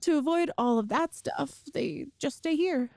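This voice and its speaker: A woman's voice: high voice, measured pace, no room sound, clean studio sound. A woman playing an affectionate, playful girlfriend from a ghost family in an ASMR audio roleplay, chatty and tender. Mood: affectionate, explaining. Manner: chatty and tender, with soft laughs and sighs.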